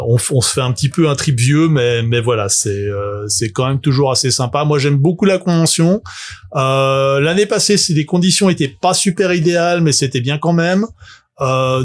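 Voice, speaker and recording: A man, rambling through a casual livestream full of fillers and self-mocking asides. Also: medium pitch. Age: 40s. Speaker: tabletop game author and streamer.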